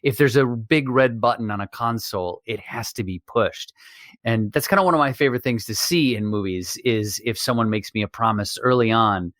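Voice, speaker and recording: A man's voice: medium pitch, normal speed, a bit bright, no echo. A man speaking casually in a conversational podcast discussion.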